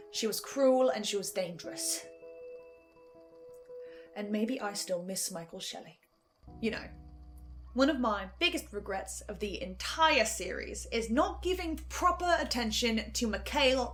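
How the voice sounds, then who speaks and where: A woman's voice: mid-range voice, fairly bright tone. Reflective woman commentator over music. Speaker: reviewer and host. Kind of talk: scripted video essay commentary.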